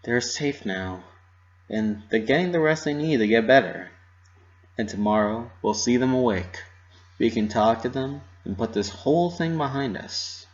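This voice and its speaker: A man voicing a loyal young female pegasus pony in a story reading, speaking calmly and confidently to console. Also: medium pitch, normal speed, lo-fi sound, close to an everyday voice. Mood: reassuring.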